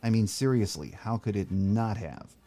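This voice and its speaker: A man's voice: on the low side, moderate pace, dark tone, faint music behind. A man hosting a sports podcast, giving his commentary and interviewing a guest. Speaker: podcast host.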